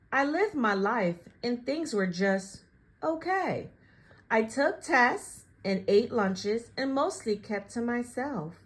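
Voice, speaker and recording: A woman reading a children's picture book aloud in an animated, expressive and deliberate storytelling style. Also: on the high side.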